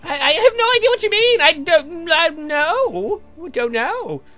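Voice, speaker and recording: A man voicing a flustered, guilty old man in a visual novel game, halting and stammering defensively. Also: very high voice, very animated, bright, forceful tone, faint music behind, voice pushed up, full character voice.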